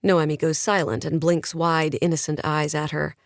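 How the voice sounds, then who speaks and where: A woman's voice: deep voice, level tone, moderate pace, no room sound, clean studio sound. A woman narrating a first-person novel as an audiobook in a wry, conversational storytelling manner.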